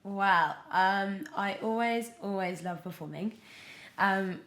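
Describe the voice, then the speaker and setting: A young British woman chatting casually as a podcast guest, telling stories in an animated way peppered with "like". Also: medium pitch, takes it slowly. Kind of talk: casual interview chat. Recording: roomy, echoey sound.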